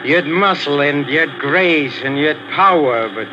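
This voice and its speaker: An actor playing a sinister, fawning old boxing trainer who talks softly and giggles, in a 1940s radio drama. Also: male voice, on the high side, animated, normal speed, lightly acted. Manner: soft, ingratiating, giggling, menacing undertone. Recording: hissy lo-fi old radio recording.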